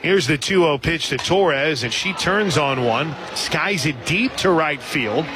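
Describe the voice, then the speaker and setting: A man doing live radio play-by-play commentary of a softball game as the announcer. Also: on the high side, expressive, a little slow. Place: outdoor softball stadium broadcast position. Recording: loud stadium crowd noise behind. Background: loud crowd and ballpark ambience.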